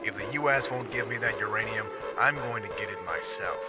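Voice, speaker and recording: Man as smug villain over loud music. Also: on the low side, a little fast, lightly acted. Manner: cool, condescending, commanding. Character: smug, menacing male villain leading armed men. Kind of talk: radio drama acting.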